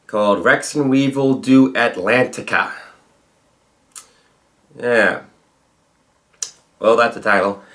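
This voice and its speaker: A man's voice: medium pitch, fairly bright tone. A man hosting a reaction video with casual, informal commentary on a parody cartoon.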